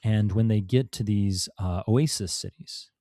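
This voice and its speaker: A man's voice: on the low side, unhurried, warm tone, dry recording, clean studio sound. A man, a podcast guest and author, telling a history story in casual talk, with many fillers and restarts. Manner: rambling, many fillers and restarts, laughs now and then.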